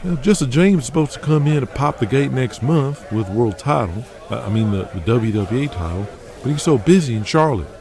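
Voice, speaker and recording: A man's voice: medium pitch, lively intonation, brisk, a little mellow, close to an everyday voice. A man playing an anxious, deferential backstage assistant to a wrestling boss in an audio drama, hesitant and fretful. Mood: cautious. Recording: crowd noise behind the voice.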